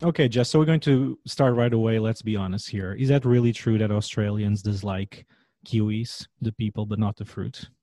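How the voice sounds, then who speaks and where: Deep-voiced Portuguese man hosting a podcast. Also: normal speed, dark tone, no room sound. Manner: playful, joking asides. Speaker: podcast host. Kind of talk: podcast introduction and interview.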